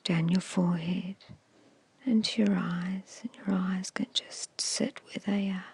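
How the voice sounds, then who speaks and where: Slow, breathy whispering woman. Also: fairly low pitch, fairly even intonation, no room sound. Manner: soothing, hushed, measured, with short phrases and pauses. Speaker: hypnosis and meditation guide. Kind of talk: whispered ASMR guided meditation.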